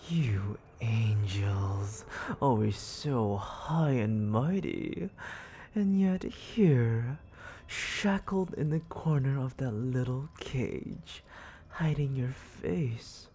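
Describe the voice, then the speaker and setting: Demon lord played by a man for ASMR roleplay: dark mellow murmur, unhurried, menacing and condescending, toying with the listener. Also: medium pitch, slight background noise, exaggerated voice. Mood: mocking, amused. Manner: condescending, taunting, languid and deliberate.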